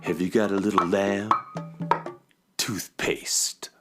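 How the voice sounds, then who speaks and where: A man's voice: low-pitched, flat delivery, slow, over loud music. An actor playing a laid-back, poetic beatnik cat father in a children's radio drama, with languid hip slang. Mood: poetic, dreamy.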